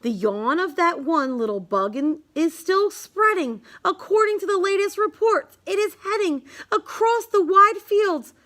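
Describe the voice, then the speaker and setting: Female storybook reader, extremely high voice, unhurried singsong delivery of children's verse. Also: expressive, a bit bright. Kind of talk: read-aloud of a rhyming children's picture book.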